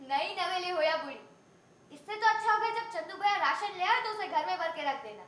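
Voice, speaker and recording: An actress playing a harried, blunt daughter-in-law who complains sarcastically while running a household in a naturalistic Hindi family drama. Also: female voice, very high-pitched, expressive, moderate pace, fairly bright tone. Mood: bossy, weary. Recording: echoey room recording.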